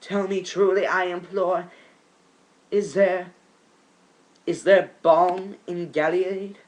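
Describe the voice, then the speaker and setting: Man acting out a haunted, impassioned, high-pitched mourner. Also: little pitch movement, takes it slowly, dull audio. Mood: desperate pleading. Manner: theatrical, impassioned outcries. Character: grieving, haunted man driven to anguished frenzy.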